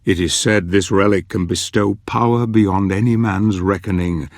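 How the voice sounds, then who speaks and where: A grave old knight narrates a dark fantasy quest. His voice is low and close, with very little room around it, and he speaks in solemn, theatrical phrases. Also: steady tone, moderate pace, dry recording. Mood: grave, portentous. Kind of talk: dramatic scripted fantasy narration.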